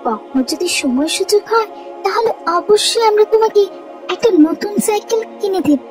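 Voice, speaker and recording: A gentle, careworn cartoon mother acted out by a woman. Her voice is very high with animated melody, and music plays behind. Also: normal speed. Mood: gentle, sorrowful. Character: gentle, struggling mother of a poor family. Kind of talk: cartoon voice acting.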